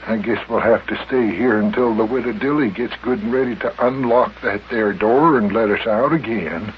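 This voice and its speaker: A man's voice: fairly low pitch, normal speed, dull audio, slight background noise. A man narrating and acting as host of an old-time radio serial for children. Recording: band-limited old radio recording.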